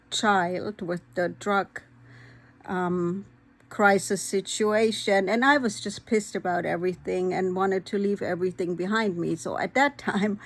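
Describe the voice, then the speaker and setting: A woman, talking candidly and earnestly to her vlog audience, pausing often with "um". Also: mid-range voice. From Vojvodina, former Yugoslavia; raised in Germany. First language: Hungarian. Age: 50s. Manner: earnest, candid, frequent "um" pauses. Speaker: vlogger. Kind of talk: personal vlog talk.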